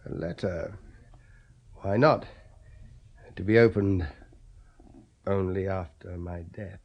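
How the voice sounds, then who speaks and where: A pondering husband in a seventies radio drama. His voice is on the low side, and he speaks in a hesitant, musing way. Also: fairly even intonation, slow pace, highs cut off, heavily acted. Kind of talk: 1970s radio drama acting.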